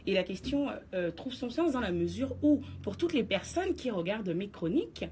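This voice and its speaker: A woman's voice: a little high, big pitch swings, moderate pace, darkish tone, a little hiss or hum. A woman giving her radio column, explaining her position to listeners in an assertive, lecturing way. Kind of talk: radio commentary segment. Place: radio studio.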